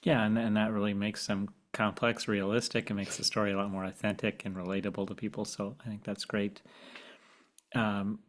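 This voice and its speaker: A man with a slightly low voice speaks as a podcast host. His interviewing is conversational and a little halting, with words often repeated as he works toward a question. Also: little pitch movement.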